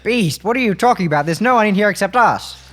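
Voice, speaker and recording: A man's voice: sits high, lively intonation, fast, fairly bright tone. A man playing a tabletop roleplaying game, improvising comic roleplay and chatting casually.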